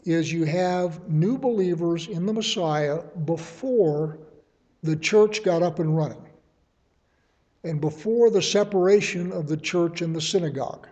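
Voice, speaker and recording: Mellow-voiced man teaching scripture in a reverberant hall. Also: fairly high pitch. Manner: measured, reasoning aloud, offers tentative readings. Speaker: Bible teacher. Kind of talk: verse-by-verse Bible study teaching.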